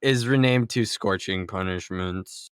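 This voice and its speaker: A man's voice: low voice, a little slow, clean tone, no room sound, clean studio sound. A man in casual banter as a podcast panelist. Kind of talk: casual banter about a manga.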